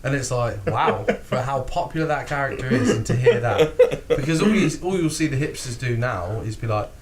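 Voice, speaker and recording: A man's voice: medium pitch, normal speed, over a noisy crowd. A British man co-hosting a casual podcast, swearing freely, laughing often and acting out lines. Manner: animated, sweary, laughs often, acts out quotes. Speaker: podcast co-host.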